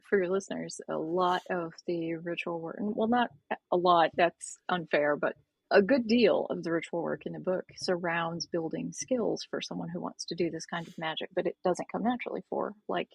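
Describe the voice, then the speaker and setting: A hoarse woman with a gravelly, fry-laden growl and a breathy, husky edge, talking through ideas in an explanatory way and doubling back on her phrases. Her voice sits a little low and sounds dry and close, with no room around it. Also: normal speed, dry recording. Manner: explanatory, restarts phrases, laughs lightly. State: hoarse, froggy voice. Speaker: author guest. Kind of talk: casual podcast interview.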